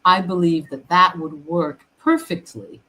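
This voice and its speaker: Low-voiced woman minister. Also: fairly even intonation, pushed and bright, low-quality recording. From Haiti. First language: French. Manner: earnest, halting with fillers and restarts. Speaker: guest minister. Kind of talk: casual interview chat.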